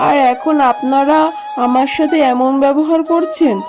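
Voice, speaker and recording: A woman's voice: high-pitched, steady tone, normal speed, lightly acted. A woman voicing a poor, weary but loving fox mother in a cartoon, speaking in a plaintive, motherly way. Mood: pleading, hurt. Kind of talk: children's cartoon voice acting. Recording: music playing behind the voice.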